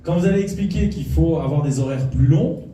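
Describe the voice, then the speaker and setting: A man's voice: fairly high pitch, a little fast, mellow. A man giving an impassioned political speech, insistently repeating his key points. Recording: echoey hall from far off, faint noise.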